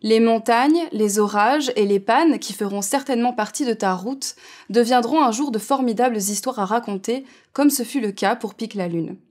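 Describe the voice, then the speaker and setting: A woman's voice: a little high, normal speed, a little mellow. Québécois woman interviewer, polished. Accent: Québécois French. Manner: polished, reads prepared introductions. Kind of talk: prepared interview questions.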